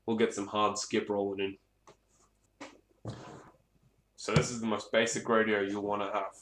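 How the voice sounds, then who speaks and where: The recording is echoey, with clear noise behind the voice. A man with a fairly low voice talks casually through a hands-on demo as a hobbyist presenter. He rambles off the cuff and swears freely. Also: level tone, moderate pace. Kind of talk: casual hands-on gear demonstration. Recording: echoey room, clear background noise, clicks.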